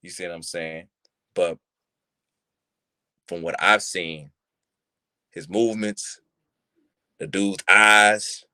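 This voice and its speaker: Deep-voiced young man, lively street talk. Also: dry recording. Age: 30s. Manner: animated, street slang, repeats "you see what I'm saying". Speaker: podcast co-host. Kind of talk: casual chat. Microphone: live stream mic.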